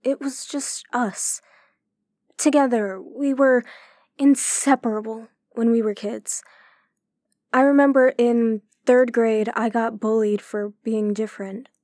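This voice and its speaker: An actress in an audio drama playing a gentle, troubled woman who speaks hesitantly and confidingly. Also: a little high, steady tone, slow pace, no echo, studio quality. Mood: sad, confiding. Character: gentle, troubled woman opening up to her brother.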